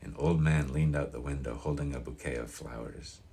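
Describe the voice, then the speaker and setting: A man reading fiction aloud as an audiobook narrator, in an expressive scripted style. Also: a bass voice, normal speed, gravelly.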